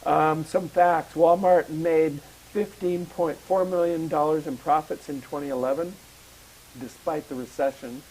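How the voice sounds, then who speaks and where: A man co-hosting an activist talk show, hoarse from a cold, speaking casually with many pauses and fillers and reading facts aloud. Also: a little high, fairly even intonation, darkish tone, rough recording. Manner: hesitant, many ums, reads facts from a card. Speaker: public access show co-host.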